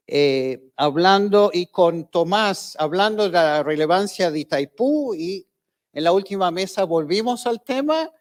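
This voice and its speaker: Older Argentine male academic, high voice, easygoing lecture delivery with frequent hesitations. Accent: Argentine Spanish. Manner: relaxed, conversational, with hesitant fillers. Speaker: professor giving a closing lecture.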